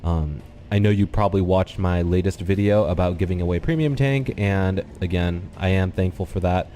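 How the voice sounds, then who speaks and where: Deep-voiced, congested man narrating a game replay. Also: moderate pace, a little hiss or hum. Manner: calm, explanatory, thinks aloud with ums. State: congested, slightly nasal. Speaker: gaming channel host. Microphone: desktop USB microphone.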